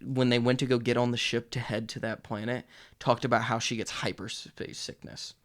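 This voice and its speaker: A man co-hosts a review podcast in a loose, conversational way. He has an average-pitched voice and talks bluntly, joking and swearing freely as he gives his opinions. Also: level tone, normal speed. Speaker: podcast co-host reviewing a TV series. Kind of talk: casual opinionated chat.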